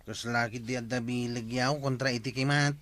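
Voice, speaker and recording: An actor with an Ilocano accent playing a curious, cautious village sidekick in a radio comedy drama, talking in a questioning way. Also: male voice, medium pitch, fairly even intonation, a little fast, fairly bright tone, lightly acted. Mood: wary.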